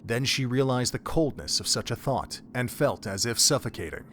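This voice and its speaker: A man's voice: medium pitch, animated, moderate pace, a bit bright, slight background noise. A man narrating a dramatic story from a script, as the narrator of an audio drama.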